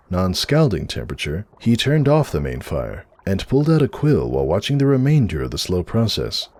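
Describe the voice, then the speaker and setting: A man's voice: deep voice, a little mellow. A man reading a story aloud as a narrator, in a scripted dramatic storytelling style. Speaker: fan fiction narrator.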